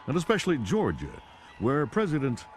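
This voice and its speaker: A man's voice: mid-range voice, wildly swooping pitch, moderate pace, loud music behind the voice. A man playing a polished, authoritative news anchor in a video game, reading scripted reports formally and emphatically. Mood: formal, brisk.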